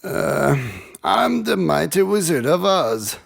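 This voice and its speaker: Very creaky, sheepish little old man. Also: sits high, big pitch swings, slight background noise, full character voice. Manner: slow, hesitant. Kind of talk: children's animated fairy tale acting.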